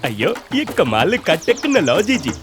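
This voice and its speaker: A man voicing an eccentric, excitable village scientist, exclaiming and boasting in a cartoon story. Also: fairly high pitch, unhurried. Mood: boastful. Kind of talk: Hindi cartoon story acting.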